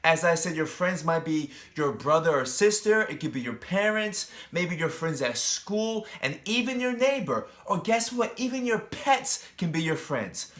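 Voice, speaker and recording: A man's voice: fairly high pitch, big pitch swings, a little slow, few pauses, a bit bright. A man who teaches, reading a picture book aloud to young children, with chatty asides and questions put straight to them. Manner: addresses young children directly, asking questions.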